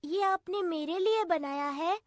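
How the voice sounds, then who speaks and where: A woman playing a sweet, obedient young girl in an animated story, speaking in a gentle, earnest, childlike way. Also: extremely high pitch, measured pace, dry recording, clean studio sound. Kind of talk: animated story character acting.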